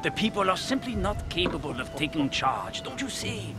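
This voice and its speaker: An actor playing a haughty, condescending French aristocrat in formal, dramatic video game dialogue. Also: male voice, fairly high pitch, very animated, moderate pace. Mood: disdainful, indignant. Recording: music behind the voice.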